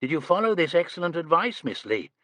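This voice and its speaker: A man's voice: a little high, big pitch swings, fairly bright tone, no room sound, pitched above the performer's own voice. A man voicing a courteous, composed police superintendent asking questions. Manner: courteous, measured.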